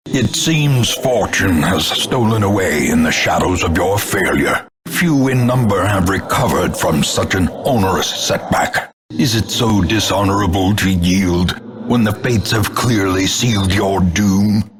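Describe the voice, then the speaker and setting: An actor playing a grim, contemptuous older narrator of doom, announcing a video game with grandiose, theatrical gothic delivery. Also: male voice, sits low, soft background music. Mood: scornful, foreboding. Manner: grandiose, ornate, measured dramatic delivery.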